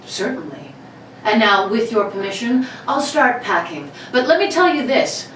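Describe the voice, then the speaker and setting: A woman's voice: a little high, very animated, moderate pace, a bit bright. A woman playing a cocky, politely menacing male armed robber in an old-time radio play, with mock-courteous theatrical delivery. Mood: smug politeness. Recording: roomy, distant mics with faint noise.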